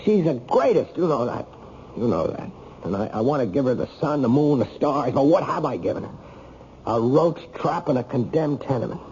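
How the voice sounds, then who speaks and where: A man's voice: mid-range voice, big pitch swings, normal speed, a little mellow, lightly acted. An actor playing a desperate, wrongly accused ex-con in his thirties, agitated and self-pitying, in an old-time radio drama. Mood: despairing. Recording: dull, lightly noisy old radio recording.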